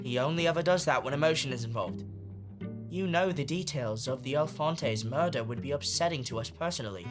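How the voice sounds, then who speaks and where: An actor playing a clever young male street-gang leader who talks in quick, earnest deductions. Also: mid-range voice, over music, close to an everyday voice. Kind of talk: audio drama acting.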